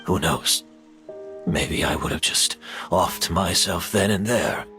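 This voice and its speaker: A man playing a proud, weary older master perfumer turned unrepentant culprit in a video game, slow and wry in manner. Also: low-pitched. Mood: wry resignation. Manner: slow, deliberate, theatrical, wry. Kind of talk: dramatic video game voice acting. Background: background music.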